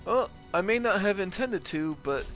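A man's voice: high-pitched, lively intonation, moderate pace, fairly bright tone, faint music behind. A man giving casual gameplay commentary as a gaming host, thinking aloud as he plays. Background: video game ambience.

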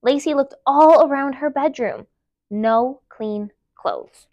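A woman in her thirties reading a children's picture book aloud in an expressive, emphatic storytime style. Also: high voice, no room sound, lo-fi sound. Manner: animated, emphatic, deliberate storytelling. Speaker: library storytime reader. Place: indoor room, seated before a dark wall. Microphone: clip-on lapel mic.

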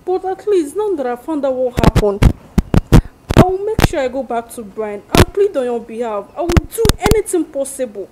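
A woman's voice: on the high side, very animated, moderate pace, pauses often, heavy background noise. A married woman acting in a skit as a friend who gives persuasive advice. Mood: apologetic. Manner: confiding, persuasive, then apologetic.

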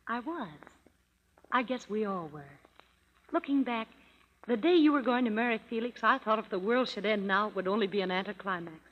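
A tender woman played by an actress reflecting as a sister in a radio drama of the 1930s. She is earnest and wistful at a medium pitch. The vintage broadcast is dull and reverberant. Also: brisk, lightly acted. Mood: wistful, happy.

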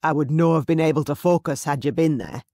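A narrator voicing a protective, commanding Scottish Highland warrior chief who gives firm, clipped orders in a Scottish accent. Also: fast, dark tone, no room sound, studio quality, natural voice.

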